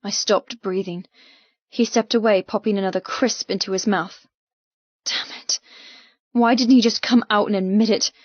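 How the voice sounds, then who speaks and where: A woman with a mid-pitched voice reads first-person fiction as an audiobook narrator. Her delivery is dramatic and intense. The recording is very dry and a little dull. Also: fairly even intonation, normal speed, no room sound.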